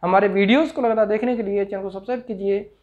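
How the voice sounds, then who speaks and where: A man's voice: sits high, fairly even intonation, racing, narrow, tinny audio. An Indian man in his forties talks to the camera as a consultant presenting an opportunity. He explains things point by point, dropping in plenty of English terms. He is recorded on a clip-on mic in a small office. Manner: Hindi mixed with many English terms, explanatory. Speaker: overseas recruitment consultant. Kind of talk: informational promotional talk to camera. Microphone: clip-on lapel mic.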